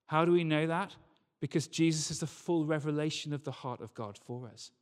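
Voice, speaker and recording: A man preaching a sermon to a congregation in an emphatic manner, using rhetorical questions and repetition. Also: a little high. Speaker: preacher, church leader. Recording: reverberant hall sound.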